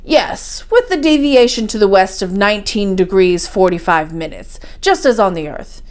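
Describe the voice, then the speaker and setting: A woman's voice: medium pitch, lively intonation, normal speed, lightly acted. A woman voicing a learned, confident older professor uncle in a story, speaking in an assured, didactic way. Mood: lecturing.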